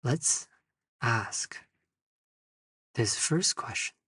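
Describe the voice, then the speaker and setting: A man leading a guided meditation, speaking in short, unhurried phrases with long pauses. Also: medium pitch, expressive, sharp tone, husky, clean studio sound. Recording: distant mic in a roomy, echoing space.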